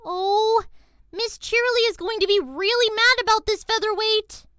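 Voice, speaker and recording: A woman voicing an excitable, blunt young filly pony in an acted cartoon fan fiction, with folksy exclamations. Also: very high voice, moderate pace, no room sound, clean studio sound. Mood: worried.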